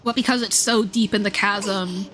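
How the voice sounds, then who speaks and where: A woman doing casual livestream commentary on a game, thinking aloud in an excitable, exclamatory way with half-finished thoughts. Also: mid-range voice, moderate pace. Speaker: livestream host playing a game and discussing its lore. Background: game music under the voice.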